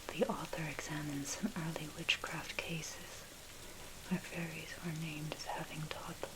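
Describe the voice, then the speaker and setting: A woman narrates in a breathy, unvoiced whisper, giving a measured, scholarly ASMR reading of a dark history article. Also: low voice. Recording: heavy background noise, rain and thunder. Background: rain, thunder, clicks.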